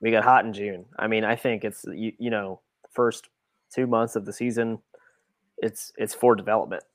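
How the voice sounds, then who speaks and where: Man, low pitch, very dry sound, casual sports panelist, halting, repetitive phrasing. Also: steady tone, no room sound. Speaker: podcast panelist.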